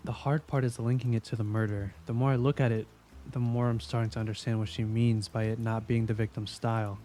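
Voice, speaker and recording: A man's voice: medium pitch, flat delivery, a little fast, mellow, soft background music, close to an everyday voice. A man playing a weary, skeptical police investigator in an audio drama, speaking in a dry, blunt, impatient way. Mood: weary, musing.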